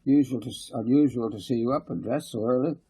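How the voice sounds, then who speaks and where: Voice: mid-range voice, brisk, mellow, slightly rough. A man voicing a hearty, bossy father in an audiobook story. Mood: hearty, commanding.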